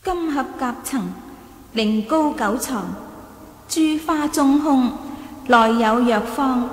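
A woman playing a sly, self-assured young noblewoman in a radio drama, playful and deliberate, with a Hong Kong Cantonese accent. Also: sits high, slightly rough, exaggerated voice. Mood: formal reciting.

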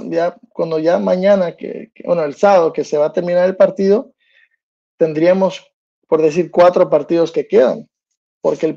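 A man's voice: fairly high pitch, creaky, mellow, dull audio. A man in his thirties from New Jersey, hosting a solo sports podcast in a loose, chatty way and slipping English slang into his talk. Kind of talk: casual solo sports commentary. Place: small room indoors.

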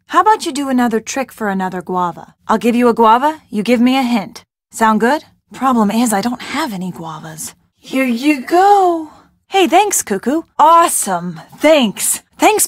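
A woman's voice: fairly high pitch, no echo, clean studio sound. A woman playing a plucky young detective's friend in a video game, casual, wry and forthright. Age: young adult. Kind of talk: video game character acting.

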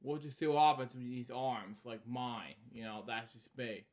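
A man in his thirties hosting a bedtime story series, reading a children's book aloud in short halting lines with casual, repetitive asides. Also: medium pitch, fairly even intonation. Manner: halting, repeating stock phrases between short read lines. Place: small indoor room at home.

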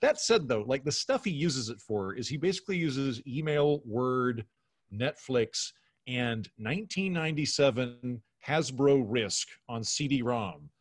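A man's voice: mid-range voice, measured pace, slightly rough. A middle-aged man chatting casually as a podcast guest, telling comic anecdotes and acting out the exchanges in them. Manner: animated storytelling, acting out quoted exchanges. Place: home bedroom, indoors. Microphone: video call.

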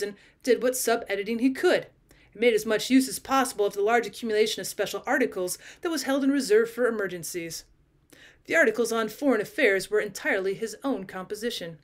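High-voiced woman reading a story aloud. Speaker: teacher reading audiobook. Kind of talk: scripted story narration, read aloud.

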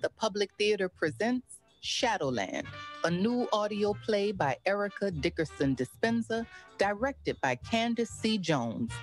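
A woman's voice: mid-range voice, lively intonation, unhurried. A woman delivering dramatic scripted narration for an audio play promo. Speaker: trailer narrator. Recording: loud music under the narration.

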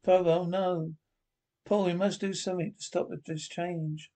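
A man reading the part of an anxious, protective scientist father in a radio horror script, haltingly and plainly. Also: high-pitched, little pitch movement, dark tone. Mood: frightened.

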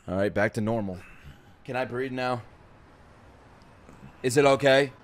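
A young man streaming his reaction to an anime at home, cracking crude jokes and breaking into sudden loud outbursts. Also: medium pitch, a little slow. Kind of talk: live reaction commentary. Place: home streaming room with gaming chair. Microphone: studio mic on boom arm, headphones on. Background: anime soundtrack playing faintly.

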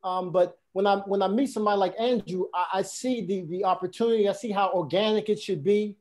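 A man hosting a conversational interview over a video call through an earbud headset. He talks in an animated way, often repeating and restarting his words. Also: high-pitched, fairly quick. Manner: animated, gestures a lot, many restarts and repetitions. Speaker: podcast host. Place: room at home.

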